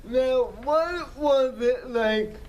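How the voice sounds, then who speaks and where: A man hosting an interview, asking questions with some halting restarts. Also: extremely high pitch, slight background noise. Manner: asks questions with hesitant restarts. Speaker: interviewer, show host.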